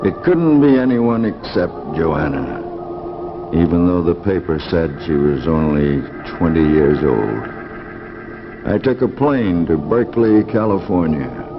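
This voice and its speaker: An actor playing a heartbroken, lovelorn husband in a 1950s television drama, with an earnest, wistful delivery. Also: male voice, sits low, expressive, slow pace, over music. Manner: earnest, wistful, repeats phrases. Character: heartbroken, lovelorn husband recounting his lost wife. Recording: muffled 1950s broadcast with faint hiss.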